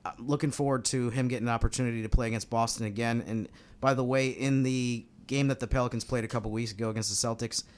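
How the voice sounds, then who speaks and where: A man's voice: mid-range voice, steady tone, quick pace, hardly any pauses. An American man speaking as a sports podcast analyst in a casual, conversational style. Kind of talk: casual basketball talk.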